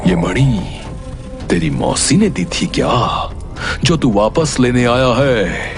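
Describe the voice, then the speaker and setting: A man voicing a menacing man-eating cave demon in a cartoon fairy tale, with drawn-out, taunting threats. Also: mid-range voice, big pitch swings, vocal fry, music in the background, full character voice. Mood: mocking, threatening. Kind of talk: Hindi animated fairy tale voice acting.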